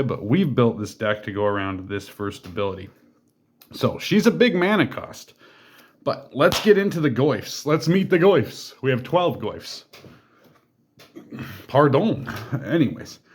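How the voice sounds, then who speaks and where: A man reviews a card game deck in a loose, conversational way. He reads each card's text aloud, then adds asides and fillers as he talks about it. His voice sits at an ordinary middle pitch. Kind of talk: casual deck walkthrough.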